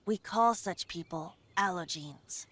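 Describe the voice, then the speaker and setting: A woman's voice: fairly low pitch, takes it slowly, a bit bright. A woman playing a youthful male bard with a breezy, wistful and playful storyteller's manner in an anime-style game. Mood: wistful, confiding. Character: youthful male bard, secretly an ancient wind god, breezy.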